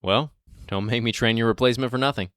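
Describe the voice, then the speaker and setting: An actor playing a brisk male vault supervisor with a dry way of talking. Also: mid-range voice, a bit bright, smooth, lightly acted.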